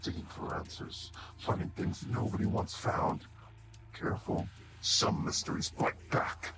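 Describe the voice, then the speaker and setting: Man voicing a slow, whispery, sinister monster villain. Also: heavy background noise, close to an everyday voice. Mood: taunting threat. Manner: slow, deliberate, mocking, theatrical. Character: sinister taunting male monster villain, menacing, patient and mocking. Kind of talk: scripted villain voice reel, game character monologue. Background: prominent music.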